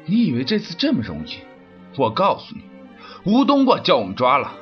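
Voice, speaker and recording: Bullying male police officer played by a man, coarse and commanding, high voice with wild pitch swings, for radio drama. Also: wildly swooping pitch, a little fast, muffled recording, faint music behind. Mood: stern, threatening. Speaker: voice actor. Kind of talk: audio drama acting.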